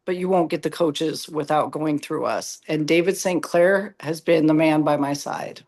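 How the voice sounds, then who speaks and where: A co-host with a raspy voice chiming in candidly during a coaching webinar. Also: mid-range voice, moderate pace, darkish tone. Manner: candid, teasing asides. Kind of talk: casual chat in a webinar.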